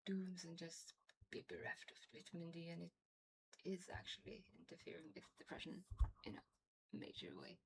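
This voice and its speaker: A woman's voice: fairly low pitch, moderate pace, gravelly, slight background noise, poor audio. A woman from Norway with a Norwegian accent, chatting casually on a game stream with a toothache, rambling and laughing often. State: has a toothache.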